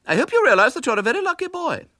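A man voicing a prim, fussy spinster lady in a storybook reading, with a high, fluttering and hesitant manner. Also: sing-song, very fast, pushed and bright, no room sound, clean studio sound, heavily acted.

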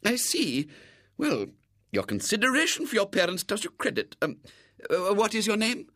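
A man's voice: high voice, huge pitch swings, normal speed, no room sound, studio quality, pitched above the performer's own voice, heavily acted. A man voicing a severe, prim and gullible woman in a storybook, with a proper manner. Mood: gracious, gentle. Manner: grim and sharp, softening to gracious.

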